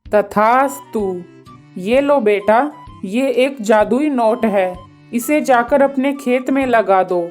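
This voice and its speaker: A woman acts out a benevolent old hermit from a children's cartoon. Delivery is slow and solemn. Soft music runs behind the voice. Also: on the high side. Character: benevolent old male hermit sage granting wishes. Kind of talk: children's cartoon story acting.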